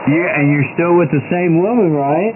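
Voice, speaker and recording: A man's voice: a little high, normal speed. A man bantering over a two-way radio, rambling and joking, laughing at his own lines. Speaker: radio chatter regular. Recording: noisy tinny two-way radio.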